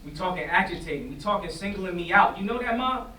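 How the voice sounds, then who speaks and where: A young man plays a troubled, defensive teenage son in a stage drama. His voice sits fairly high, and his talk is colloquial and insistent, circling back on phrases. He is picked up from a distance in a roomy space with faint noise. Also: animated, fast, sharp tone. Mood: agitated, indignant. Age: young adult. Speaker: drama student acting in a staged reading. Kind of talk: staged play reading, naturalistic acting. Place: indoor staged reading before festival, distant mic.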